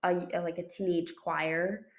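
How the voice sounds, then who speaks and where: A woman's voice: on the low side, level tone, a little slow. A young woman co-hosting a podcast, telling a story in a chatty way with many fillers and restarts. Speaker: podcast co-host. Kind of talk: casual chat.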